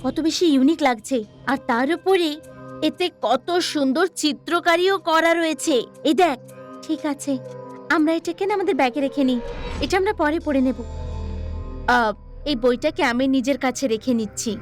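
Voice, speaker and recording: Woman acting a very high-voiced curious young woman. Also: normal speed, faint music behind, close to an everyday voice. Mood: excited. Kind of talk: horror audio story acting.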